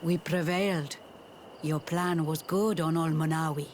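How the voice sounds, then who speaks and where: A man's voice: on the high side, steady tone, measured pace, a little hiss or hum, close to an everyday voice. A man playing a stern, proud native war leader in a video game, grave and resolute. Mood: grateful.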